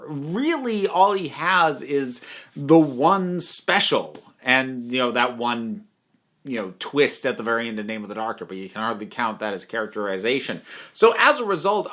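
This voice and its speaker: Higher-voiced man giving an emphatic review. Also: a bit bright. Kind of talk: opinionated audio drama review to camera, conversational.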